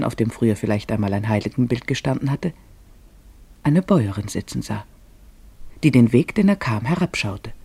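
A man narrating a literary radio play in a measured, descriptive storytelling manner. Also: medium pitch, mellow, husky, dry recording. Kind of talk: scripted literary storytelling in German radio drama.

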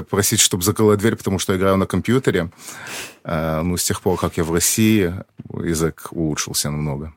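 A man in his thirties with a very low voice, talking as a podcast guest. He explains things plainly and simply, as if for a child, and the dry studio sound keeps him close to the mic. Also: no room sound. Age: 30s. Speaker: embassy press secretary, guest. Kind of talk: casual interview.

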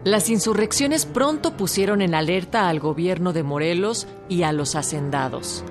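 A woman's voice: fairly low pitch, expressive, normal speed, music in the background. A woman with a Mexican accent reading scripted historical narration as a radio narrator.

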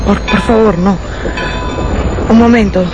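A woman's voice: mid-range voice, fairly even intonation, unhurried, over loud music, natural voice, rough recording. An actress playing a desperate abandoned woman in a radio drama, pleading and repeating herself in panic. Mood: anxious pleading. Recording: loud train noise behind the voice.

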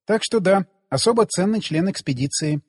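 A man reading as a proud teasing woman, husky. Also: sits high, animated, normal speed, in a large hall. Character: proud, teasing young woman, lover of the hero.

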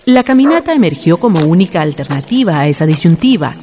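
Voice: medium pitch, sing-song, fast, noisy background. A narrator reading a horror story in a formal, ornate literary style. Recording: music behind the voice.

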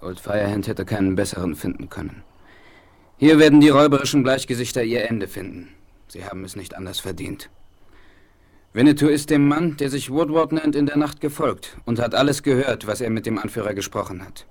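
An actor playing a proud, stern Apache chief in a 1970s radio play, speaking solemnly and formally. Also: male voice, mid-range voice, animated, fairly quick, darkish tone. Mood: grave, proud.

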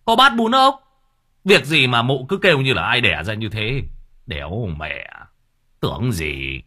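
Người đàn ông diễn viên cai chợ hống hách giọng Bắc. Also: male voice, medium pitch, sing-song, a little slow, pushed and bright, no room sound, studio quality, heavily acted. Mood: bullying. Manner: sneering, barking, mocking. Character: corrupt bullying colonial-era market warden, man.

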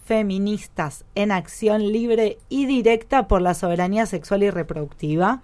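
A woman presenting a live radio broadcast, introducing recorded clips in a relaxed way with frequent fillers. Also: medium pitch, moderate pace.

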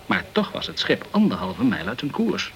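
A man's voice: a little high, wildly swooping pitch, normal speed, creaky, highs cut off, exaggerated voice. A man playing a polite, chatty journalist, peppering his talk with words, in a radio play. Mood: grave, informative. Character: polite, talkative French journalist in the tropical West Indies. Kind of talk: Dutch radio play acting.

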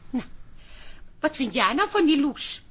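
An actress in a radio play playing a nosy, worrying wife who coaxes and insists. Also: female voice, high voice, very animated, a little slow, fairly bright tone, slight background noise, exaggerated voice. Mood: coaxing. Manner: coaxing, insistent. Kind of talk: Dutch radio play acting.

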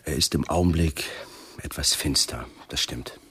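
A man playing a conciliatory, evasive friend in a radio play, hesitant and eager to smooth things over. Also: extremely low pitch, normal speed, a bit bright, heavily acted. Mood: placating. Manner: hesitant, placating.

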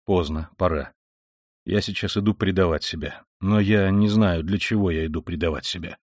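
Male reader as a feverish, proud young man: hushed and weary, dry close sound. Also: low voice, moderate pace, no room sound. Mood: weary, bitter. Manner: quiet and weary, then bursts into shouting rage. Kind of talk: audiobook reading of a classic novel.

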